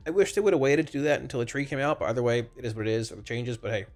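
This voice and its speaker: A man with a congested, slightly raspy voice from a cold, giving casual, rambling, explanatory commentary on a video game to his viewers. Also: mid-range voice, fairly even intonation, very fast, a little mellow. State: congested, under the weather with a cold. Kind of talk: informal gaming feedback commentary to viewers. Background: background music.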